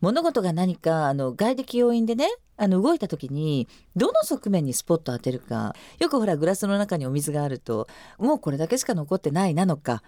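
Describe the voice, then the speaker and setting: A woman's voice: on the low side, very animated, a little fast, hardly any pauses, dark tone, no room sound. A woman co-hosting a radio show in a conversational way, with a polite, encouraging manner. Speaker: radio show navigator and co-host.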